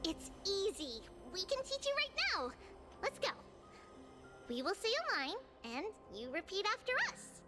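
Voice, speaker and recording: Game casting: small, wise, encouraging girl, high childlike voice, unhurried, music clearly behind. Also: big pitch swings, full character voice. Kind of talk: scripted game voice acting. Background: background music.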